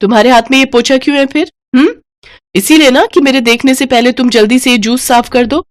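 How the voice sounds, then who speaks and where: A woman voicing a haughty rich mistress of the house in a sharp, bossy, accusing manner for a children's story. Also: sits high, a little fast, voice pushed up, lightly acted. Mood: angry scolding.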